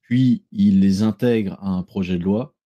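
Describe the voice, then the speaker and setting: A man speaking as a guest in a casual political discussion, measured and explanatory, with ironic asides. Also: lowish voice, dull audio. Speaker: guest commentator.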